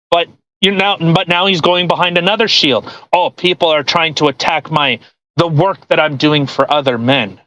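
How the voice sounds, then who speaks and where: A man with a voice a little higher than average talks casually as a podcast co-host. He is sarcastic and argumentative, picking things apart in a mocking way. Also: measured pace, pauses often, pushed and bright, dry recording, low-quality recording. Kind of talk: casual chat, mocking commentary on a post read aloud.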